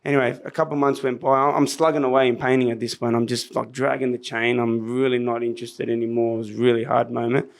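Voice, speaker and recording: An Australian man with a slightly squeaky voice, pitched in the middle for a man, tells stories as a podcast guest. He talks casually, acts out other people's lines and often trips into quick restarts. He is speaking into a podcast microphone. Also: flat delivery, creaky. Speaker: podcast guest, business founder. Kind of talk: casual storytelling interview.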